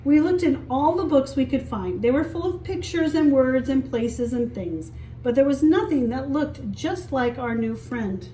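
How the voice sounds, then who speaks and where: Female story time teacher, higher pitch, expressive read-aloud with questions for little kids; roomy, echoing sound. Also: a little slow. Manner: expressive, explains and asks simple questions for young children. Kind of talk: read-aloud picture book storytelling with asides to children. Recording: echoey room, distant mic, faint hiss.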